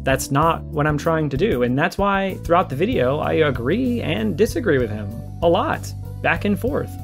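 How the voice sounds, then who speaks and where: A man with a fairly high voice delivers conversational video commentary. He argues emphatically and circles back over his points to stress them, with faint plucked guitar music behind him. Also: very animated, moderate pace, vocal fry. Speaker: video creator and host.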